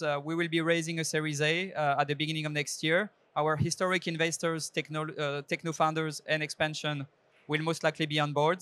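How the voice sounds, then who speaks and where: Man pitching his startup. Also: no room sound. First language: French. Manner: earnest, steady, with frequent fillers and restarts. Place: indoor trade show stage. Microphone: handheld microphone.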